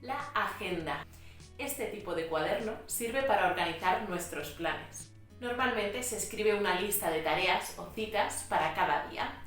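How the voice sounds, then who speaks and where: A woman from Spain explains language tips in a patient, clear teaching voice. She sounds set back from the mic, with a roomy echo around her and soft music underneath. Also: mid-range voice, animated, unhurried. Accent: Spain Spanish. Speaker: Spanish teacher. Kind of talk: educational vlog narration. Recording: echoey room, mic at a distance.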